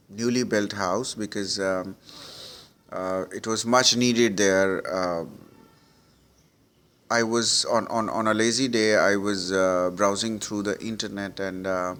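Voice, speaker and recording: A man's voice: lowish voice, fairly bright tone. A middle-aged man, an Urdu speaker, giving sample IELTS speaking answers with many fillers and restarts. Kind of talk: model exam answers with Hindi-Urdu prompts.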